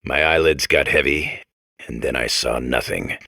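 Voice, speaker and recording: An actor playing a dull, world-weary hard-boiled detective robot, narrating in clipped deadpan noir parody with a gravelly voice. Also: male voice, rumbling low, measured pace, dry recording, studio quality, exaggerated voice.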